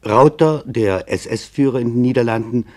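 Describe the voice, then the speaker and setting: A man narrating a scripted radio documentary play in a grave, deliberate manner with weighted pauses. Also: mid-range voice.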